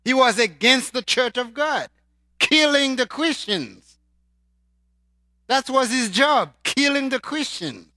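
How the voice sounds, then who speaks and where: A man from Haiti with a Haitian accent, preaching a sermon and swinging between loud emphatic lines and quiet asides. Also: very high-pitched, animated, takes it slowly, dry recording, studio quality.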